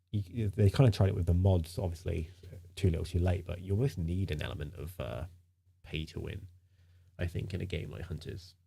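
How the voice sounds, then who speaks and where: A man's voice: deep voice, gravelly, warm tone, husky. A man streaming live in casual chat, sounding nasal from a stinking cold, rambling with lots of fillers and repeated words.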